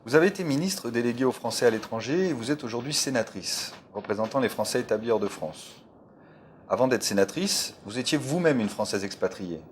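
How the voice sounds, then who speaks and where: A man's voice: mid-range voice, expressive, a little fast, a little hiss or hum. Man interviewer, formal questions.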